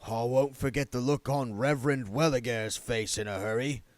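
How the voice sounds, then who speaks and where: A man's voice: mid-range voice, moderate pace, no echo, natural voice. Man doing a gloomy, grumbling older vampire husband. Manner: gloomy, blunt, colloquial.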